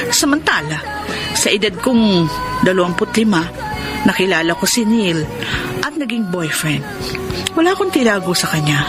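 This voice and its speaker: An actress with a Filipino accent playing an anxious, wounded young bride in a radio drama. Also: female voice, mid-range voice, natural voice. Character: Diana, anxious jilted pregnant bride of thirty, pleading.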